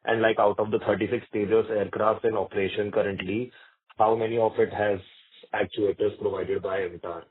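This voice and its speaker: Man, Indian accent, fairly low pitch, analyst caller, informal deferential questioning, thin phone-line sound. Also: level tone, a little fast, breathy edge. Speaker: investment analyst asking questions. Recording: narrow tinny phone line.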